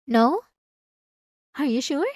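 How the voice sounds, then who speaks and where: A woman playing a sweetly devout, possessive young church girl in a scripted ASMR roleplay, soft-spoken and halting, with sugary politeness and an unsettling edge. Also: sits high, animated, no room sound, studio quality. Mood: coaxing, wistful. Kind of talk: scripted ASMR roleplay monologue addressed to the listener.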